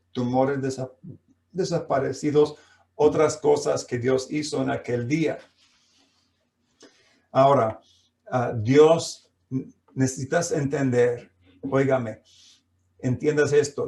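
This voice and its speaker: Slow, gravelly man preaching in an echoey room. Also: mid-range voice, a little mellow. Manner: slow preaching with frequent fillers and restarts. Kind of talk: sermon. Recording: echoey room, mic at a distance.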